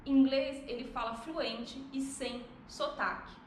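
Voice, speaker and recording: High-voiced Brazilian woman, echoey room. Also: fairly even intonation, slow, a little hiss or hum. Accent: Brazilian Portuguese. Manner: reflective storytelling, frequent "né?" tags. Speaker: vlogger, mother. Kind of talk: casual vlog monologue.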